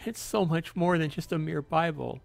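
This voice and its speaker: Heartbroken wife played by a man, raised high voice, pleading and halting, visual novel drama, music underneath. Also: creaky.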